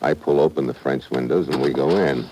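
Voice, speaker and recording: Deep-voiced hard-boiled American agent. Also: male voice, a little fast, warm tone. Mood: terse narration. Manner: clipped, slangy, tough-guy delivery. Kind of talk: old-time radio thriller acting and first-person narration. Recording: noisy old radio drama recording.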